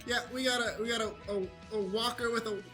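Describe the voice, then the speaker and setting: Woman as eager oddball salesman, high bright voice, stammering repetitive patter, comic radio ad. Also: steady tone, brisk, soft background music, close to an everyday voice. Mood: hustling. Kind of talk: improvised comic radio commercial.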